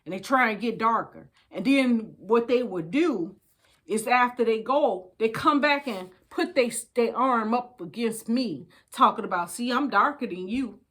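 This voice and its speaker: A woman's voice: fairly high pitch, expressive, unhurried, a bit bright. An older woman with an American accent, chatting casually and reading from a book in a folksy, emphatic way to her listeners. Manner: folksy, addresses listeners as babies, repeats phrases for emphasis. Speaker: storytime host. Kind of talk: casual chat with book read-aloud.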